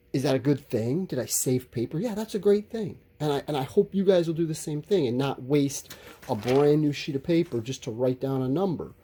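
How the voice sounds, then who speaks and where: A male teacher with a soft, mellow tone and a lively, swooping melody as he talks to students. He explains things conversationally and offers his own opinions candidly, in a somewhat husky voice. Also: fairly high pitch. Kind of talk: informal recorded lesson, talking to students.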